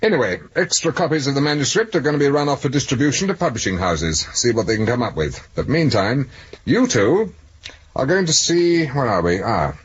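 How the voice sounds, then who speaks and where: A very husky police superintendent, brusque, authoritative and sardonic. His pitch moves about in a lively way as he hands out orders, in a dull-sounding 1970s radio drama recording. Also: fairly quick. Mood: sardonic, pressed.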